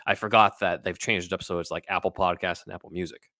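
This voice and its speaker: A man's voice: low-pitched, fairly even intonation, quick pace, pushed and bright, no room sound, studio quality. A man co-hosting a podcast, talking casually in an informal, conversational way. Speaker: podcast co-host. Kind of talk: casual chat.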